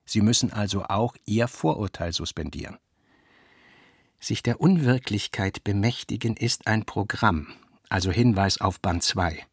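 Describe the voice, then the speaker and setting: An actor playing a brooding middle-aged novelist in a literary radio play, deliberate and terse, with a husky voice. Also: male voice, lowish voice, lively intonation, no echo, studio quality, exaggerated voice. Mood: insistent, sardonic. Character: brooding middle-aged male novelist reading out his working notes.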